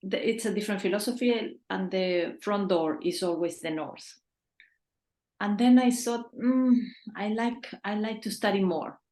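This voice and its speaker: A woman's voice: medium pitch, measured pace, a little mellow, reverberant. A woman in her fifties from Chile, speaking earnestly as a guest on a video-call interview, with small restarts. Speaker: feng shui consultant, guest. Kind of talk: casual interview answers.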